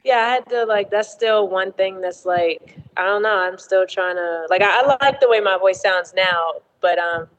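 A woman singer talking as a podcast guest, with a deeper tone, hesitant and reflective. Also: moderate pace, few pauses, rough recording. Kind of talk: casual interview chat.

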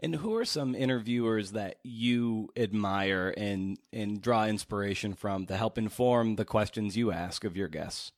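Man, somewhat low pitch, casual interview host with halting, meandering delivery; close, dry sound. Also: dry recording. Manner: halting, circles around his questions. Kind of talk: casual interview, long questions.

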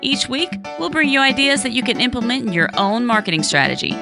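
A woman co-hosting a podcast with a voice made hoarse by a cold, opening the show and welcoming the guest in an upbeat way. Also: fairly high pitch, big pitch swings, fast, music in the background. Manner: upbeat, welcoming host delivery. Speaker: podcast co-host.

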